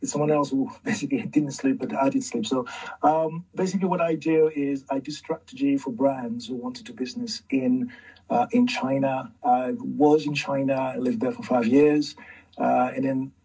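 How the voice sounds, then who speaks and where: A man's voice: medium pitch, normal speed, creaky, warm tone, slightly rough, distant mic, low-quality recording. A man giving a casual introduction as a strategist speaking on a panel.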